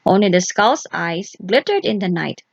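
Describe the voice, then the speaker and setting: A woman's voice: medium pitch, very animated, normal speed, vocal fry, no echo, muffled recording. A woman reading a scripted folk tale aloud for children as a storyteller.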